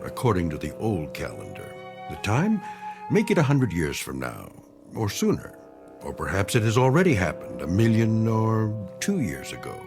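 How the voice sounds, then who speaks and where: A man with a fairly low, widely moving voice delivers solemn drama narration. He is measured and portentous, with music playing beneath him.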